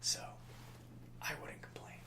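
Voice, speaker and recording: A man playing a nice, easily hurt assistant to a gang leader in an ASMR roleplay, speaking softly with hesitant, placating stammers. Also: a little slow, echoey room, lightly acted. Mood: reassuring, explanatory.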